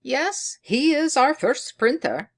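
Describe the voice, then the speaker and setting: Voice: high voice, animated, slow, pitched above the performer's own voice, exaggerated voice. A narrator voicing an eager, jovial male scholar-clergyman in a storybook, bookish and emphatic. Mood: eager, cheerful.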